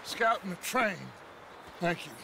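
An actor playing a commanding, fatherly outlaw gang leader in a Western video game, deliberate, courteous and persuasive, reassuring yet steely. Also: sits high, background noise. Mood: weary, commanding.

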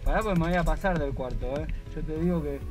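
A young Argentine man with a Rioplatense accent and a high-pitched voice, talking in a chatty, joking way for his vlog. He is picked up on a helmet-mounted action camera, with a clear music bed running under him. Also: fast talker. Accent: Rioplatense Spanish. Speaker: motovlogger host. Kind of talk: casual vlog chat. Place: street and small ice cream shop.

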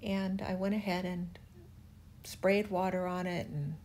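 Slow, relaxed older woman narrating. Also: lowish voice, a little hiss or hum. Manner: relaxed, chatty, gently enthusiastic. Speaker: furniture refinisher. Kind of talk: voice-over narration of a restoration.

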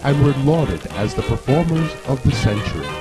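A man's voice: mid-range voice, sing-song, moderate pace, music in the background. A man reading scripted mock-documentary narration in a formal, grandiose storytelling manner.

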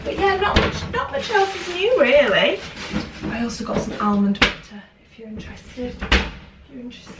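A British woman in her twenties, bunged up with a cold, chatting casually and upbeat as she unpacks shopping and a parcel at home. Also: on the high side. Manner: chatty, upbeat, thinking aloud. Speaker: fashion vlogger. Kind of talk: casual vlog chat. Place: home kitchen, indoors. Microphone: camera mic from a distance. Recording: echoey kitchen, far from mic, loud noise.

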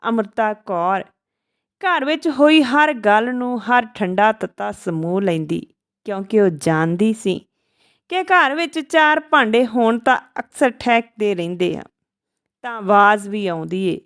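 A woman reading a scripted story as a storyteller, phrase by phrase in a measured way, with the odd stumble. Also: medium pitch, lively intonation.

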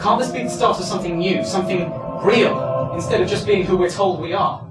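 A man's voice: high voice, fairly quick, over loud music, lightly acted. A young man playing a defiant, exasperated character in a stage play. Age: young adult. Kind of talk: theatrical stage acting. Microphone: distant camera microphone. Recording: echoey stage, distant mic, loud background sound.

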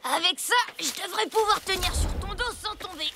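A woman's voice: very high-pitched, a little fast, very noisy. A bossy bragging caveman boy. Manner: taunting, bossy, show-off. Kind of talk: cartoon voice acting.

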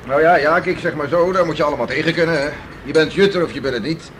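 An actor playing a hardy, boastful beachcomber husband in a 1960s radio play, bluff and emphatic. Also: male voice, fairly high pitch, fast, a bit bright, close to an everyday voice. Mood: bluff, hearty. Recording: noisy old radio play recording.

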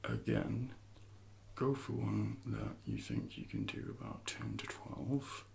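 A man in his thirties speaking softly and breathily in an ASMR roleplay as a personal trainer, often slipping into whispers while instructing. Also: on the low side, huge pitch swings, takes it slowly, creaky, slight background noise. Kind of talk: soft-spoken ASMR roleplay.

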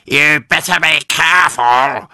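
A man's voice: on the high side, unhurried, sharp tone, no room sound, voice pushed up, exaggerated voice. A man voicing a nasty old woman who cackles and shrieks in a storybook reading. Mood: taunting. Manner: screeching, cackling.

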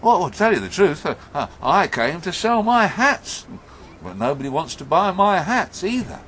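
A man voicing a sad but friendly hat seller in a folktale, excitable and a little hesitant. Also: high-pitched, normal speed, a little hiss or hum, voice pushed up. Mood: downcast. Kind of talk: live oral storytelling.

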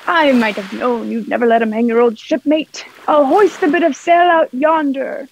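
A woman's voice: high voice, moderate pace, a little hiss or hum. A young woman playing a sly, wheedling pirate in a radio play, speaking in ingratiating old seafaring dialect. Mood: fond. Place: indoor room, remote video recording. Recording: muffled home remote recording.

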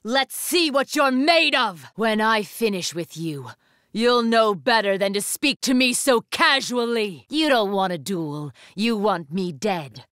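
A woman's voice: mid-range voice, animated, measured pace, fairly bright tone, dry recording, clean studio sound. An imperious, fierce young warrior woman. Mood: fierce, defiant. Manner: commanding, haughty, formal. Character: imperious young female warrior student leader, proud, fierce. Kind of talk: anime fighting game acting.

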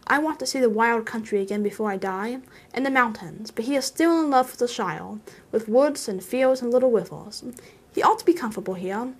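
A woman's voice: fairly high pitch, lively intonation, fairly quick, breathy edge. A woman voicing an elderly male hobbit, stubborn, touchy and possessive, in an emphatic, testy storybook reading. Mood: weary, wistful. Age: older.

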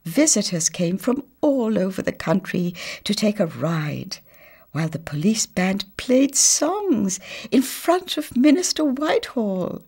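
A woman's voice: medium pitch, sing-song, measured pace, darkish tone, breathy edge, voice pushed up, heavily acted. A woman voicing a proud, genteel old grandmother house in a children's storybook, emphatic and theatrical. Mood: proud, reminiscing. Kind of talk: children's storybook read-aloud.

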